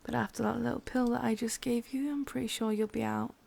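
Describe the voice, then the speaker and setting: A woman with an English accent playing a possessive, doting witch captor in a whispered, intimate ASMR roleplay, breathy and softly commanding. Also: a little high, fairly even intonation, fairly quick. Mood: coaxing, softly commanding.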